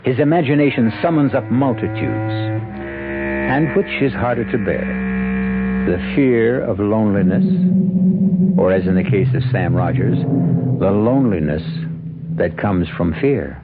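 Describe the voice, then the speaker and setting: A man hosting a radio mystery drama, narrating in an ominous, theatrical, deliberate manner. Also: fairly low pitch, narrow, tinny audio. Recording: thin old radio recording, loud music behind.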